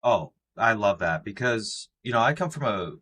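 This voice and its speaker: A man with an American accent and a very low voice conducts a casual interview. He talks effusively and loosely, circling around his thoughts with plenty of filler phrases. There is some background noise behind him. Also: animated, normal speed, bright, forceful tone. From United States. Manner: effusive, rambling, filler-heavy. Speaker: podcast interviewer.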